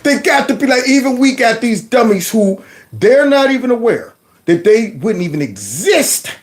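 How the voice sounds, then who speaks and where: Animated high-voiced man ranting. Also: moderate pace, slight background noise. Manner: animated, mocking, loud outbursts. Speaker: host. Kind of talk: commentary vlog reacting to clips.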